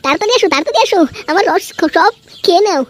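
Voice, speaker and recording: A woman's voice: extremely high pitch, lively intonation, rapid-fire. A woman voicing a brazen, flirtatious village woman in a comic cartoon, coaxing with sugary endearments. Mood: coaxing, eager. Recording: faint background noise.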